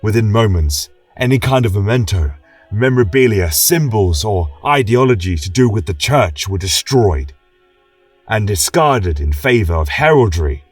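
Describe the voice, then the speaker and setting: A man's voice: on the low side, animated, moderate pace, husky, recorded from a distance. A man narrating a scripted fantasy tale in a solemn, dramatic manner. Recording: echoey room, music behind. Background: choral music.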